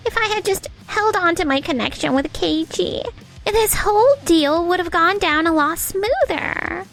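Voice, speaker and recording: A woman's voice: very high voice, lively intonation, unhurried, creaky, fairly bright tone, soft background music, heavily acted, poor audio. A woman playing a young businesswoman in a romcom manga dub, emotional and theatrical. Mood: dismayed. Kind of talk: scripted manga dub acting.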